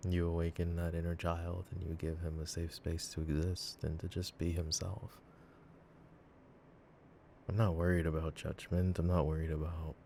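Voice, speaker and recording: A man acting a devoted, affectionate boyfriend in an intimate roleplay ASMR monologue, with a rambling, halting, tender way of talking. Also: low voice, creaky, dark tone, slight background noise. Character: devoted, silly, affectionate boyfriend, earnest and reassuring. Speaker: voice actor in an audio roleplay.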